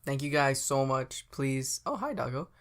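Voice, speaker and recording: A man's voice: mid-range voice, expressive, moderate pace, a bit bright, slight background noise. A young man co-hosting a casual podcast, goofy and jokey, often repeating himself. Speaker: podcast co-host. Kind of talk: casual chat.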